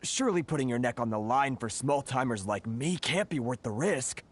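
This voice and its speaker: An actor playing an anxious, deferential older merchant in a video game, with a worried and hesitant manner. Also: male voice, mid-range voice, lively intonation, normal speed, a little hiss or hum, lightly acted.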